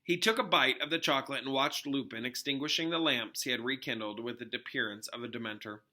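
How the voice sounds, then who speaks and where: Very bright-voiced man reading a story aloud. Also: mid-range voice, fast talker. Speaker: teacher reading a novel aloud. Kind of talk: expressive scripted storybook narration.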